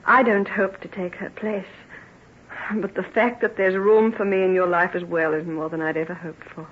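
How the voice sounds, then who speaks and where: An actress playing a devoted, self-effacing plain woman in love, emotional and halting, in an old-time radio drama. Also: lowish voice, little pitch movement, lightly acted. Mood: quiet, devoted. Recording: muffled, rough old radio recording.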